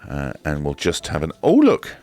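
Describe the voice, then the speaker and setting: Very deep-voiced British man, casual reviewer. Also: huge pitch swings, normal speed. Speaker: reviewer presenting a product video. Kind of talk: casual unboxing and demonstration commentary.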